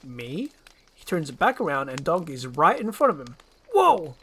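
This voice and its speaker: Woman, narrator, slightly low voice, scripted screenplay reading. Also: big pitch swings, brisk, fairly bright tone, a little hiss or hum. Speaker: narrator and voice performer.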